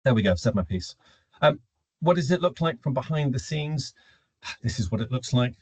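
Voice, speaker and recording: A man with a rough-edged, husky voice presents a business webinar in a very dry acoustic. His pitch sits mid-range for a man. He talks informally and explains with clear opinions, posing questions and then answering them himself. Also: lively intonation, normal speed, no room sound. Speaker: webinar presenter, recruitment automation consultant.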